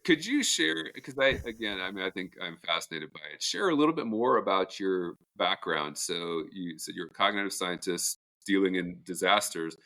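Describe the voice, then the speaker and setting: A man co-hosting a podcast in an informal, conversational way, with halting, pause-filled introductions. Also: deep voice, expressive, pushed and bright. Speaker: podcast co-host.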